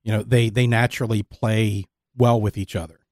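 A man interviewing a guest conversationally, speaking in a thoughtful, measured way. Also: on the low side, little pitch movement, a little mellow, dry recording, studio quality. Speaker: podcast host, interviewer.

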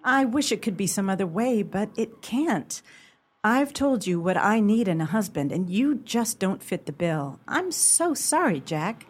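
Lady playing a firm woman turning down a suitor in a radio play, slightly raspy, theatrical, lots of up and down. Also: mid-range voice, sing-song, measured pace. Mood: earnest, apologetic. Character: firm, dismissive woman rejecting her suitor. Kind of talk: amateur radio play acting.

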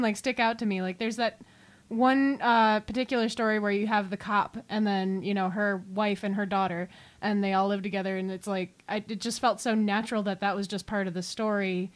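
A woman co-hosting and interviewing on a podcast, chatting casually in an encouraging manner. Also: fairly high pitch. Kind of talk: casual chat.

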